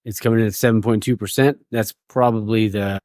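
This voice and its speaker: A man's voice: fairly low pitch, fairly even intonation, brisk, a little mellow, no room sound. Laid-back joking man, dry close sound. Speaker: podcast host. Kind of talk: casual beer-tasting chat.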